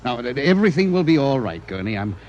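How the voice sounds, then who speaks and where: An actor in a 1950s radio drama playing an impatient, dismissive office boss with a brusque, stammering delivery. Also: male voice, mid-range voice, sing-song, quick pace, darkish tone, lightly acted. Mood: nervous, placating. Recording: dull, faintly noisy old radio recording.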